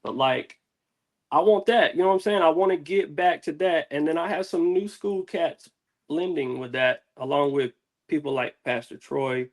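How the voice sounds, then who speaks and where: A man's voice: on the high side, normal speed. Slangy American man in casual interview. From United States. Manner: slangy, profane, peppered with "man" and "dude". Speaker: music artist being interviewed.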